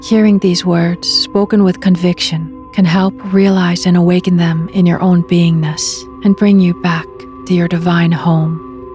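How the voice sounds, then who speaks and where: A woman leading a guided meditation, speaking in measured, deliberate phrases with soft endings and pauses. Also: lowish voice. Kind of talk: guided meditation, slow spoken readings with long pauses. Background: meditation music at beginning and end.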